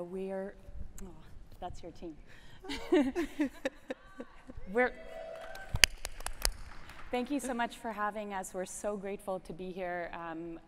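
A middle-aged woman from the Soviet Union speaking on a conference stage, pausing often with ums and uhs as she introduces her co-speaker. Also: medium pitch, a little mellow. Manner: hesitant, fills with many ums and uhs. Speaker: strategy lead co-presenting. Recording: hall reverb, faint ambient noise.

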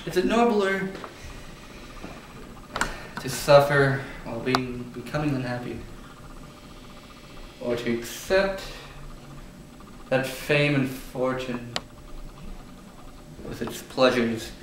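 A young man playing a hesitant amateur singer in a student parody of a Shakespearean soliloquy, speaking in a halting, theatrical way. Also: mid-range voice, lightly acted. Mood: pondering. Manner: theatrical, halting, deliberate delivery. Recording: echoey room, distant mic, background hiss.

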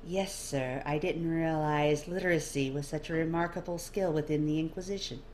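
A woman's voice: deep voice, steady tone, moderate pace, darkish tone, slight background noise, close to an everyday voice. A woman voicing a guarded female linguist in a story, clipped and politely dry, feigning meekness. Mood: dryly polite.

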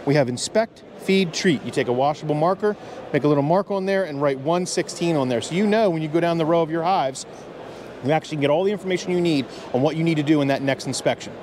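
A man in his forties with a loud voice, giving an energetic sales pitch at a convention hall booth on a clip-on mic. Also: quick pace. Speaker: beekeeping vendor. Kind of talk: product pitch. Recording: faint hall background noise.

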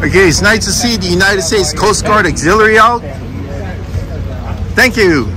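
A man's voice: sits high, lively intonation, moderate pace, music in the background. A man vlogging as he walks around an outdoor harbor fishing expo, chatting casually and thanking exhibitors. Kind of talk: casual walkaround narration. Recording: crowded outdoor event. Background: crowd chatter.

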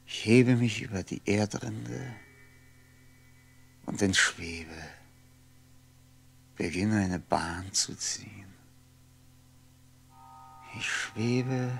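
Slow, gravelly, brooding lonely man. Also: on the low side, heavily acted. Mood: dreamy, intense. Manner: musing, halting, poetic, sometimes near whisper. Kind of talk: 1960s German radio play monologue.

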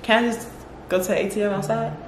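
A woman's voice: medium pitch, fairly even intonation, fast talker. A woman, chatting casually as a client in a small room indoors. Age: 20s. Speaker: vlogger, salon client. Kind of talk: casual chat. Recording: roomy echo, clearly noisy room.

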